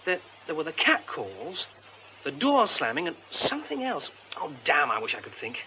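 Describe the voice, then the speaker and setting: A young, musing British man of the theatre in a radio play. His voice is high for a man and very animated, with faint hiss on the recording. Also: moderate pace, lo-fi sound, heavily acted. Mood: searching memory. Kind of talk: radio drama acting.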